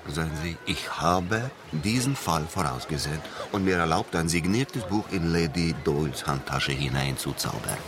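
An actor in a German radio drama playing a boastful, famous escape artist with a showy, theatrical manner. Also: male voice, rumbling low, expressive, normal speed, hardly any pauses, a crowd in the background. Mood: smug. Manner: showy, self-satisfied, theatrical. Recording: music behind the voice.

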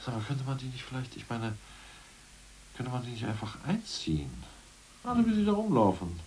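A man playing a crude, scheming ousted party functionary in a radio play, boastful and plotting, muttering one moment and loud the next. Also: medium pitch, very animated, fairly quick, distant mic, slight background noise, lightly acted. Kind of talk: 1980s radio play acting.

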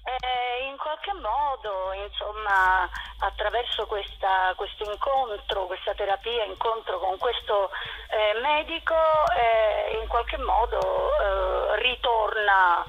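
A woman speaks as an interview guest in a medium-pitched voice. She is very unhurried, halting and reflective as she explains things. The sound is dull, like a radio or phone link. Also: creaky. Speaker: theatre author and actress, interview guest. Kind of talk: conversational interview.

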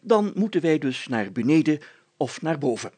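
Man doing a pompous village mayor, pitched high. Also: very animated, darkish tone, husky, dry recording. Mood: upbeat, commanding. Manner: emphatic, deliberate, self-important. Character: pompous, optimistic village mayor taking command.

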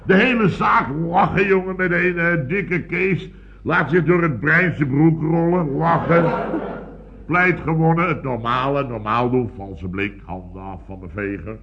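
A blustering boss, dull old recording. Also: male voice, a little high, a little slow, vocal fry. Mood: excited. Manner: rambling, repetitive, exclamatory storytelling with tag questions. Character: blustering, boastful male building contractor boss. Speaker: actor in a radio comedy serial. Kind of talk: 1970s radio comedy acting.

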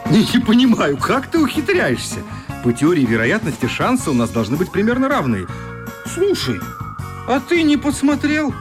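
An actor playing a good-natured journalist in a radio play, conversational, wry and mock-polite. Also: male voice, high voice, huge pitch swings, normal speed, over music. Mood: playfully flustered.

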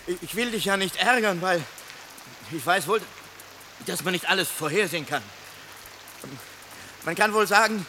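A man's voice: high-pitched, gravelly, sharp tone, heavily acted. An actor playing a weary fugitive soldier in a radio play, grumbling and needling as he stumbles and repeats his words. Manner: halting, stammering, repeating words. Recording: noisy radio play scene, rain falling.

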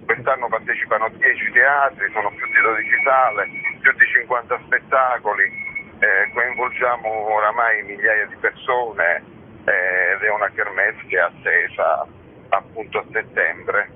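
A man's voice: fairly low pitch, fairly even intonation, normal speed, a little hiss or hum. A man giving a conversational radio interview as a festival organiser, talking in a discursive, frank, matter-of-fact way. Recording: muffled, lo-fi radio interview audio.

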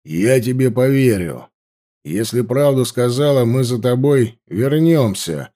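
Audiobook: male reader as a menacing male captor, slow, clipped and dark. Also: medium pitch, animated, natural voice. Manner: slow clipped hissing threats. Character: grim male warrior captor, cold, menacing.

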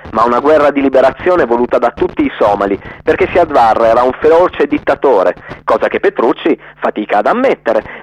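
A man's voice: mid-range voice, no room sound, lo-fi sound. A man voicing a combative Somali political spokesman in a radio play, declaiming accusations in a television debate.

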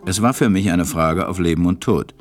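An actor playing a grown pilot who wistfully recalls his life as a storyteller in a radio play, measured and reflective. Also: sits low, faint music behind, lightly acted. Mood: wistful, wondering.

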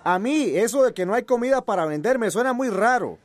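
High-pitched, suspicious villager. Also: male voice, lively intonation, fairly quick, a faint crowd. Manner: skeptical, emphatic. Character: suspicious, agitated male villager. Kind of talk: radio drama acting.

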